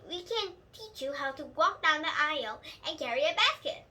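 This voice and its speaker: A woman's voice: high voice, animated, bright, forceful tone, heavily acted. A young female reader voicing a gushing, boastful little schoolgirl in a children's storybook, pushy and eager. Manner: pushy, rapid repeated questions. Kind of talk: children's storybook read-aloud.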